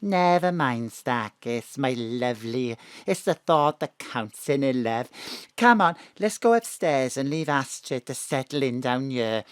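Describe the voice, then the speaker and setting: A woman's voice: rumbling low, expressive, measured pace, smooth, no room sound. An actress playing a fond, teasing older mother. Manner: affectionate, teasing.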